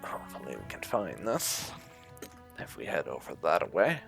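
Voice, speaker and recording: A man's voice: rumbling low, huge pitch swings, moderate pace, creaky. A man hosting a casual roleplay playthrough of a game, musing and wry as he comments. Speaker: gaming video host. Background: game music.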